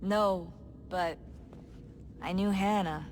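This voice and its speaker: A woman's voice: fairly low pitch, fairly even intonation, drawn-out words, a little mellow, a little hiss or hum. An actress playing an earnest, troubled young woman in a modern covert team, speaking hesitantly and sincerely in a video game. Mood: sad, bitter. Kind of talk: naturalistic video game acting.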